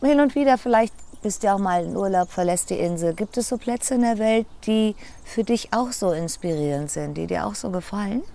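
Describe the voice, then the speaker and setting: A woman's voice: mid-range voice, fairly quick, creaky, hardly any pauses, dark tone. A woman interviewing a guest, asking leading questions and often finishing his sentences. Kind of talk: conversational interview.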